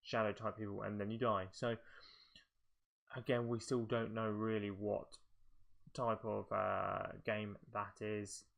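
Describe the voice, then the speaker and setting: A man's voice: lowish voice, flat delivery, slow pace, vocal fry. A man giving casual video game commentary, thinking aloud in a hesitant, rambling way. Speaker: video game show presenter.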